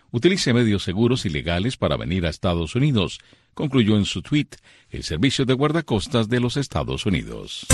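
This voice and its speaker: A man reading a radio news bulletin as anchor, in a measured, formal delivery. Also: lowish voice, no echo.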